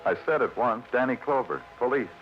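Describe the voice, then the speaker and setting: An American actor playing a terse, world-weary police detective in a 1940s radio noir, clipped and hard-boiled. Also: male voice, mid-range voice, expressive, brisk, close to an everyday voice. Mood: terse, probing. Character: terse, world-weary New York police detective narrating his case. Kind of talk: 1940s noir radio drama, moody scripted narration. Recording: dull vintage radio recording with music behind.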